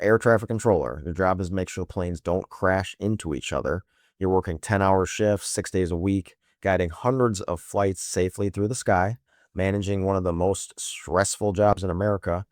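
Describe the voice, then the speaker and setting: A man's voice: sits low, no room sound, studio quality. A man hosting scripted political commentary in a sarcastic, emphatic manner full of rhetorical questions. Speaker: host of a political news commentary show.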